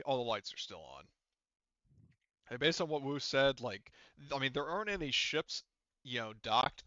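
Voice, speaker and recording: A man working as game master, narrating a tabletop roleplaying game in an informal, halting way as he thinks aloud. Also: medium pitch, bright, forceful tone, no echo, muffled recording.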